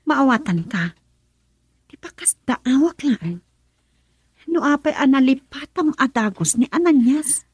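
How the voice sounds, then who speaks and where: A woman's voice: high-pitched, lively intonation, measured pace, pauses often, no room sound. An actress playing a frank, wounded young woman in an Ilocano radio drama, speaking with an Ilocano accent. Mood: reproachful.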